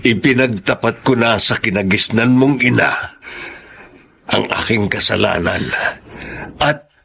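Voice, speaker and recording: An actor playing a remorseful middle-aged Filipino father in a radio drama, earnest and measured as he pleads. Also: fairly low pitch, gravelly, sharp tone, slightly rough, full character voice. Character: remorseful older father confessing an old affair to his daughter.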